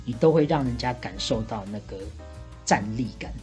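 A woman's voice: a bass voice, animated, a little fast, darkish tone, soft background music. A woman with a Taiwanese Mandarin accent co-hosting a casual podcast chat in a teasing, assertive way. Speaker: podcast co-host playing bartender.

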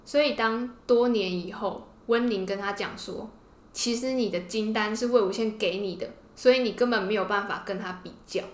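Female podcast host, Taiwanese Mandarin accent, mid-pitched, casual explanatory storytelling, roomy echo. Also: normal speed. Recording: roomy, echoing podcast room.

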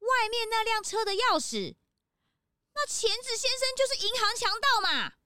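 A woman doing an eager detective's assistant in a children's audio drama, very high and bright with a lively, bouncing delivery. She fusses and jumps in eagerly, with soft music behind. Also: normal speed, no echo, studio quality, exaggerated voice. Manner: eager, fussing.

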